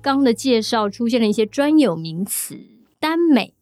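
A woman's voice: fairly high pitch, very animated, normal speed, darkish tone, faint music behind. A woman with a Taiwanese Mandarin accent hosting a casual, chatty podcast in a playful, teasing way. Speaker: podcast host and audio drama studio head.

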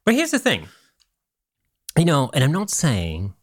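A man hosting a casual podcast, German by birth, talking in an animated way with frequent laughs and restarts. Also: on the high side, a little slow, dry recording, studio quality. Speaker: podcast host.

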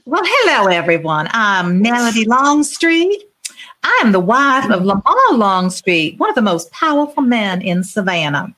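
A woman's voice: fairly high pitch, very animated, a little slow, a bit bright. A woman playing a grand, genteel wealthy Southern wife and mother in an amateur dramatic reading. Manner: genteel, theatrical.

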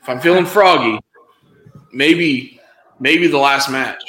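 Rambling male podcast co-host, mid pitch, recorded in a roomy echoey space. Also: takes it slowly, sharp tone. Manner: rambling, lots of fillers and restarts. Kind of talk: casual wrestling podcast chat.

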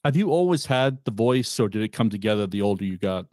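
A man hosting a podcast at a quick pace, asking his guest short, prepared questions. His voice sounds dry and close. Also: lowish voice, expressive, darkish tone, no echo. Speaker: podcast host. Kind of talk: interview questions.

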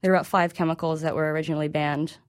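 A woman's voice: deep voice, steady tone, very fast. A woman reporter speaking as an interview guest, explaining informally in a hesitant, conversational way.

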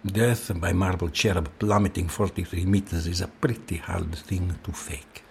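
An actor playing a resigned, courteous man in an audio drama, speaking in a measured, precise way. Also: low-pitched, fairly even intonation, vocal fry, darkish tone, slightly rough, heavily acted. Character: resigned, courteous man calmly fatalistic about his death.

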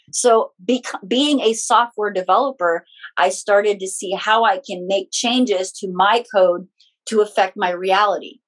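Middle-aged woman, talking openly and chattily as a guest on a podcast interview. Her voice sits at an ordinary pitch for a woman, neither high nor low. She tells her story in a loose, conversational way. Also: rough recording. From Philippines. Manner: chatty, frank, personal anecdotes with small laughs. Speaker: guest, spiritual teacher and author.

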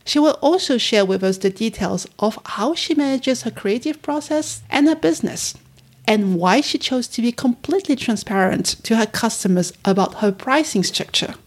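A woman's voice: a little high, expressive, moderate pace, husky. A woman hosting an interview, reading a scripted introduction of her guest and then asking questions. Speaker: interview host.

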